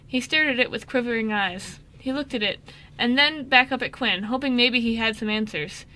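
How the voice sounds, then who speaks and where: A woman's voice: on the high side, normal speed, bright, forceful tone, a little hiss or hum, poor audio. A woman narrating a scripted radio drama, reading expressively.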